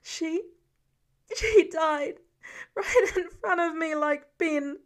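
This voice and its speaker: A woman voicing a frightened, guilt-ridden teenage boy with a halting, pleading manner in an audiobook story. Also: very high voice, voice pushed up, full character voice. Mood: anguished.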